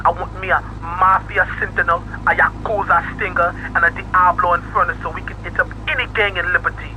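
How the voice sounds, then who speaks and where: A man's voice: high voice, fairly even intonation, a little fast, pushed and bright, a little hiss or hum, poor audio. A man playing a brash Jamaican gang boss in a video game, swaggering through slangy patois orders. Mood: commanding. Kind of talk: video game cutscene acting.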